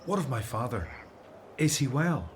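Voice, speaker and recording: A man's voice: mid-range voice, very animated, normal speed, a little hiss or hum, natural voice. Male actor as older returning exile, humble and earnest, radio drama. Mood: grateful.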